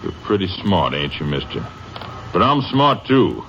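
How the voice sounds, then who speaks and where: An actor playing a cornered young killer in a 1950s radio drama, with a menacing drawl. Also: male voice, deep voice, very animated, unhurried, background noise, full character voice. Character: cornered young male killer, sneering then pleading. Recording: muffled old radio broadcast.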